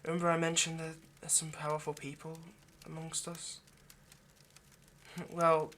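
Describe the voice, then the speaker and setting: Male vampire lover, played by a man, for intimate audio roleplay: ageless, possessive, remorseful, halting and pleading delivery, slightly noisy recording. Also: on the high side, monotone, fairly bright tone. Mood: ominous warning. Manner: halting, earnest, pleading, dropping to near-whisper.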